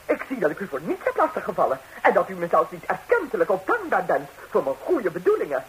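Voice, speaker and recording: An actress playing an impulsive, impertinent gossiping busybody in a 1960s radio comedy, exclaiming theatrically on a hissy recording. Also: female voice, lowish voice, wildly swooping pitch, fast talker, poor audio. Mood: offended. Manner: exclamatory, indignant, dramatically swooping delivery.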